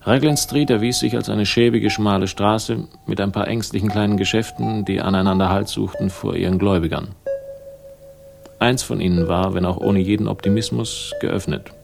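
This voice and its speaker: A man's voice: lowish voice, steady tone, normal speed, gravelly. A man acting in a 1960s German radio crime drama as a wry, laconic investigator, narrating and talking with dry irony. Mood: wry, reflective. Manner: dry, ironic, literary phrasing. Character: wry, laconic male investigator narrating his own case. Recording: vintage radio drama, music behind.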